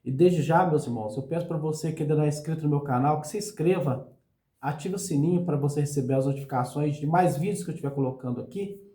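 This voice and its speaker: Hoarse preacher man in an echoey room. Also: on the high side, warm tone. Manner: earnest, slow preaching with frequent "meus irmãos". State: hoarse from a cold. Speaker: pastor. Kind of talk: sermon for an online channel.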